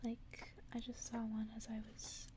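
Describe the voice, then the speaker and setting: A woman ASMR host whispering softly and close to the microphone in a breathy voice, as if reading bedtime stories aloud. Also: fairly high pitch, completely flat delivery, unhurried. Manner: whispering, soft-spoken.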